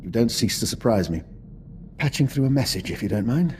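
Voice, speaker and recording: An actor playing a polite, dutiful intelligence operative in a video game, with a composed and understated delivery. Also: male voice, medium pitch, expressive, a little fast, natural voice. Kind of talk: scripted dramatic game acting. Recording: music behind.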